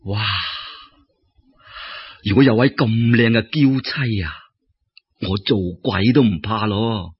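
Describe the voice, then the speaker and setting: A man's voice: lowish voice, takes it slowly, pauses often, husky, voice pushed down. A man voicing a frivolous, smitten young scholar in Cantonese, eager and full of flowery politeness, in a storybook tale. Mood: smitten, musing. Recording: dull lo-fi radio-style recording.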